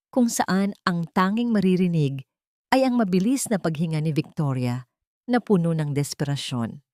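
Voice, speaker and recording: Low-voiced woman narrating drama. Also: a little mellow, no room sound, clean studio sound. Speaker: audiobook narrator. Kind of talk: dramatic scripted story narration.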